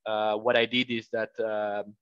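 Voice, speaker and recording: Man, young adult, Italian accent, hesitant, filler-heavy, lowish voice, dry, slightly dull audio. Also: flat delivery, unhurried, fairly bright tone, clear, resonant tone, dry recording. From Italy. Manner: hesitant, many fillers and restarts. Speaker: former international student. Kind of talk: casual interview answer.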